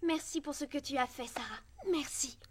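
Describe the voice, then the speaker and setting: A woman playing a spirited, friendly girl horse rider in a French cartoon dub, chatty and lively. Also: high-pitched, moderate pace, background noise, natural voice. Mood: admiring.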